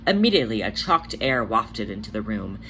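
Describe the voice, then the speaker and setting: A narrator reading a fan story aloud in an expressive amateur style. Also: mid-range voice, brisk, slight background noise. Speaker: podfic narrator and channel host.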